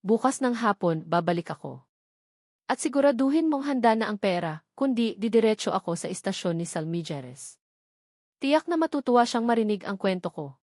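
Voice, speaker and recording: A woman's voice: mid-range voice, very animated, moderate pace, a little mellow, no room sound, studio quality. Woman voicing an arrogant, sneering male extortionist. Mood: threatening. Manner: sneering, demanding. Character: arrogant, greedy male extortionist, mocking and threatening.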